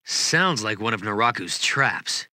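An actor playing a calm, thoughtful young monk in an anime game, measured and composed. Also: male voice, lowish voice, no echo, exaggerated voice.

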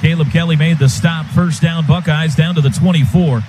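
A man with an American accent and a fairly high voice works as a football radio announcer. He describes the action in short clipped phrases, live from the booth, with faint background noise. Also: fairly even intonation, normal speed. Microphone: broadcast booth microphone. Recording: live stadium broadcast, faint ambient noise.

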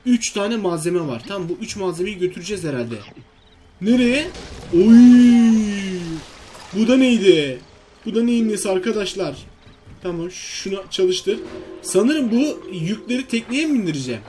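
Male gaming host, high voice, chatty and full of exclamations and questions to himself, faint noise behind. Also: animated, normal speed, darkish tone. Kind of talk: casual let's-play commentary to viewers.

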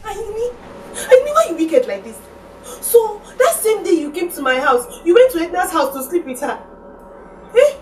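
A woman's voice: extremely high pitch, expressive, moderate pace, fairly bright tone. A woman with a Nigerian accent playing a betrayed, furious young lover in a Pidgin comedy-drama, wailing and scolding. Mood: hurt, accusing. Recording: distant mic with faint noise.

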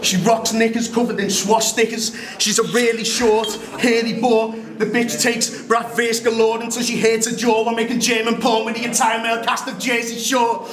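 A young man with a Liverpool accent, delivering a cappella battle rap loudly and forcefully in a brash manner. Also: very high-pitched, flat delivery, moderate pace, few pauses. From Liverpool, England. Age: young adult. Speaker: battle rapper. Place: crowded indoor club venue. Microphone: overhead boom. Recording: echoey venue with loud crowd.

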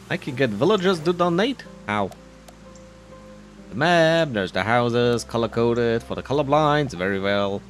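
Male gamer, casual commentary, lively swooping pitch, slow pace, rambling asides, faint background music. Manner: rambling, self-deprecating, muttering asides. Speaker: gamer narrating his playthrough. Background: faint game music.

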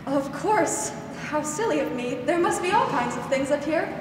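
A woman's voice: high voice, expressive, normal speed. A woman playing a kindly, no-nonsense aunt in a 1940s-style radio drama. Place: school theater stage. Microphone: stand microphone, masked performer. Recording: very echoey, noisy theater, distant mic.

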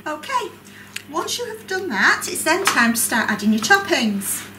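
Calm woman teaching cooking in echoey kitchen. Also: sits high, normal speed, recorded from a distance. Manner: calm, clear, instructive. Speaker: cooking instructor. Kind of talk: step-by-step cooking demonstration. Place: large college kitchen classroom, indoors. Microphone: distant camera mic. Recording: echoey room, voice from afar. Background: kitchen clatter and room noise.

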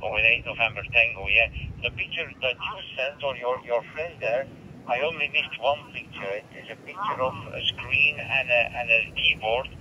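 A man's voice: medium pitch, moderate pace, pushed and bright, slight background noise. A Belgian man with a Flemish accent, Dutch his first language, chatting over a ham radio link. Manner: chatty, laughs between phrases. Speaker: radio operator. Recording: dull radio transmission.

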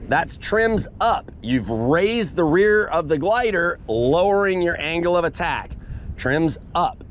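A man runs an outdoor flying lesson on a beach, his voice lively and swinging up and down as he stresses each point. He talks in punchy bursts, dropping "boom" and "bam" for effect. Faint outdoor noise sits behind his voice from a head-mounted camera. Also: takes it slowly. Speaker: paramotor instructor. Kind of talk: outdoor class demonstration. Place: open sandy beach outdoors. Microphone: head-mounted camera mic.

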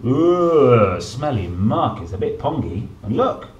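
Lively man, unhurried children's storyteller. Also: mid-range voice, dull audio. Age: 30s. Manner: playful, expressive, addressing young children. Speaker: storyteller, host reading children's books. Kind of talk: animated picture-book reading with chatty asides. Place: indoor room, seated at a table. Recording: roomy echo, some background noise.

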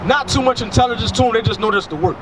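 High-voiced man preaching on a street. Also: rapid-fire. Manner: emphatic, asks rhetorical questions. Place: outdoors by a street. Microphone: handheld microphone. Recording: outdoor traffic noise. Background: passing cars.

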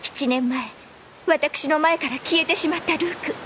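Very high-voiced, devoted young noblewoman. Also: normal speed, a bit bright, a little hiss or hum. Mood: yearning, pleading. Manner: formal, polite, emotional. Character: devoted, wistful young noblewoman pleading with lost beloved. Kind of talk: anime audio drama acting.

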